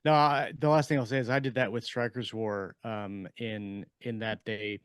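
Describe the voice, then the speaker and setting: A man's voice: fairly low pitch, steady tone, moderate pace, clean tone, dry recording, studio quality. A man speaking casually as an author on a podcast panel, restarting phrases as he explains. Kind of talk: casual discussion.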